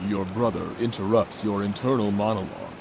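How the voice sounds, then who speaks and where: A man giving a deadpan, mock-solemn dramatic reading of a comic adventure story. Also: lowish voice, fairly quick, vocal fry, background noise. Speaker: narrator.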